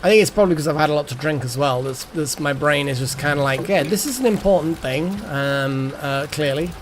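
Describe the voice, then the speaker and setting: A man's voice: on the high side, a little fast, a little hiss or hum. A man in his thirties chatting casually on a live game stream, rambling and repeating words as he muses aloud.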